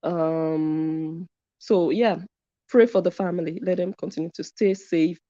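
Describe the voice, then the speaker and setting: A woman talks through a live stream, reading viewers' comments and driving her points home by saying them twice. Her voice sits a little low and sounds warm and mellow. The recording is dry and a bit dull, with a lo-fi edge. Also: a little slow, no echo. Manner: emphatic, repeats points for stress. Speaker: commentary channel host.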